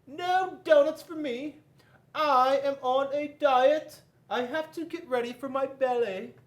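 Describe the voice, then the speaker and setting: Lady ostrich ballerina, acted by a man, very high falsetto-like pitch, haughty, emphatic, dismissive. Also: slow pace.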